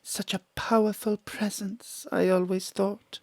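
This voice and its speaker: An actress playing a seductive, melodramatic gangster's widow in a noir-parody audio drama, pleading and flirtatious. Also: female voice, mid-range voice, level tone, unhurried, darkish tone, no room sound, close to an everyday voice.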